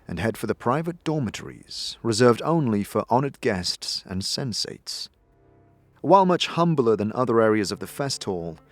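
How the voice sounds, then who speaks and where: Guy narrating a fantasy audio drama, very expressive storyteller, bit of music behind. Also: fairly low pitch, no echo. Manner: dramatic, storytelling in second person. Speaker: narrator, game master.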